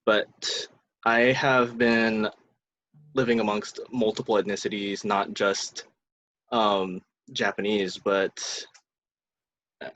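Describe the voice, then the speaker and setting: A young man from Hawaii talking on a casual student podcast, hesitant and halting, with many pauses. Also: fairly low pitch, flat delivery, a bit bright, a little hiss or hum, rough recording. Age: young adult.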